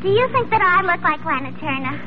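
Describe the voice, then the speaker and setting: A woman's voice: extremely high pitch, animated, brisk. An actress playing a vain, brassy tavern woman with a New York accent and affected gentility in 1940s radio comedy. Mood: huffy, vain. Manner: affected gentility, brash, sassy. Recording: muffled old radio broadcast, music.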